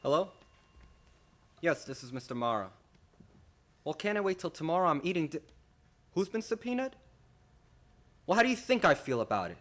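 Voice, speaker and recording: Man acting exasperated prosecutor, very animated delivery. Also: a little high, moderate pace, long pauses. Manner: emphatic, indignant. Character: harried, exasperated male prosecutor. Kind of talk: stage-like radio play acting.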